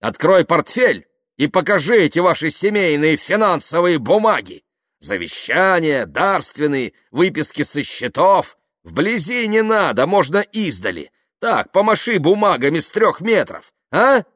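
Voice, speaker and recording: A man voicing a humble, wounded monastery novice in a story, with a measured manner that swells into indignant protest. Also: mid-range voice, lively intonation, no echo, clean studio sound, pitched above the performer's own voice, close to an everyday voice. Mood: loud indignation.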